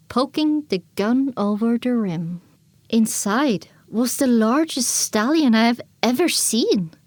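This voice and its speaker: A woman's voice: fairly high pitch, no room sound. A woman reading scripted first-person story narration for an audio drama.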